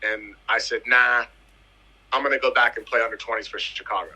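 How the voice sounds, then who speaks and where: A man's voice: mid-range voice, steady tone, fairly quick, bright, forceful tone, low-quality recording. A man in his thirties from the US Virgin Islands, a footballer telling his career story in a casual interview, emphatic and fond of repeating phrases. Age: 30s. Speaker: footballer guest.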